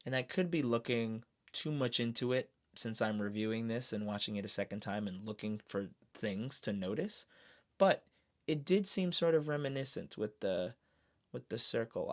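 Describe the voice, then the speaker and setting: A man giving casual, excited fan commentary in a slangy, expressive way, throwing in exclamations. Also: fairly low pitch, normal speed. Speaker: streamer reviewing an animated series.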